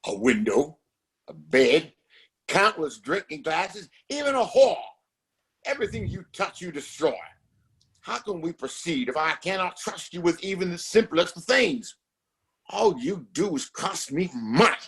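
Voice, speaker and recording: A man's voice: a little high, big pitch swings, unhurried, a bit bright, husky, exaggerated voice. An actor playing a domineering, contemptuous father and town boss in a Western audio play, speaking in a formal, commanding way. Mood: scolding. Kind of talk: Western audio drama acting.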